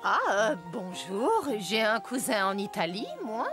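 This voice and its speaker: A woman's voice: mid-range voice, sing-song, unhurried. A woman playing a crafty, bossy village auntie in a children's cartoon, with a theatrical scolding manner. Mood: hospitable. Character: bossy crafty village auntie, stern but generous cook. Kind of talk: French children's cartoon acting. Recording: loud music behind the voice.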